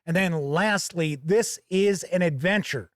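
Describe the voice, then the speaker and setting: Male review host, slightly high voice, folksy and measured, emphatic phrasing, close dry studio sound. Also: no echo. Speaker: host and reviewer of a tabletop gaming channel. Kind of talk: conversational product review to camera.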